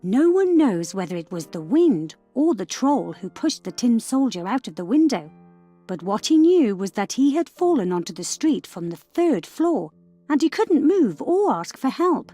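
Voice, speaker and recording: A woman storyteller narrating a scripted fairy tale for children in a measured, expressive way. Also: fairly high pitch, faint music behind.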